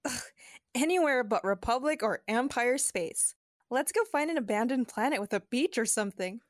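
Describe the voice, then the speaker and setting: High-voiced woman as playful young wife. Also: expressive, moderate pace, dry recording, studio quality, natural voice. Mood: playful, loving. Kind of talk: audio drama acting.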